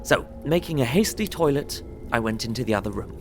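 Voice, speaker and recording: A man playing an earnest young solicitor, narrating his travel diary in a measured, descriptive way for an audio drama. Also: medium pitch, expressive, a little mellow, lightly acted. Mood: relieved, measured. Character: young English solicitor recounting his journey, earnest, observant. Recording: prominent music under voice. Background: music.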